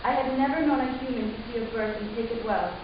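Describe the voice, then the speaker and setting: A woman playing a possessive, commanding female alien mother in a dramatic reading, with measured delivery, recorded far off in an echoey room. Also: fairly high pitch, highs cut off, noisy background, natural voice. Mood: grave, firm. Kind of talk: dramatic reading of a literary story.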